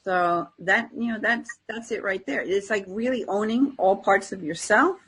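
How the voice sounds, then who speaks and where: A woman with a strongly husky voice at a medium pitch speaks in an unscripted, conversational way. She sounds like a reflective spiritual teacher on a talk show, often acting out little bits of inner self-talk. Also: normal speed, fairly bright tone.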